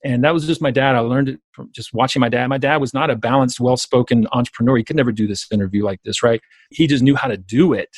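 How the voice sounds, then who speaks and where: A man's voice: medium pitch, quick pace, no echo. A man with a Southern US accent, a business coach talking as a podcast guest in emphatic, repetitive run-on sentences over a remote call. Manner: emphatic, repetitive, talks in long run-on stretches. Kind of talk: conversational interview.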